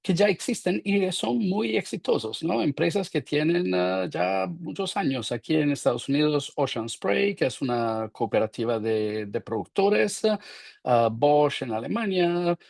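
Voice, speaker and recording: An Italian man with an Italian accent speaks in a webinar, pausing often on fillers while he searches for his words. His voice carries a gravelly edge, and the recording sounds dry and close. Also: fairly high pitch, lively intonation, darkish tone, no echo. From Italy. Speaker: guest speaker, professor. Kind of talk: webinar presentation.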